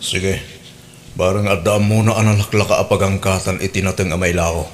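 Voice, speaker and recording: A man's voice: sits low, level tone, a little fast, a little hiss or hum, lightly acted. A man playing a hardworking, agreeable husband with a plain, resigned manner in a radio drama. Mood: earnest, agreeable. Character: hardworking, agreeable husband running a small market business.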